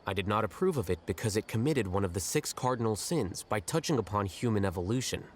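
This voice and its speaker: An actor playing a blunt, aloof male scholar in a game, measured and condescending. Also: on the low side, a little mellow, slight background noise, lightly acted. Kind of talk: game voice acting.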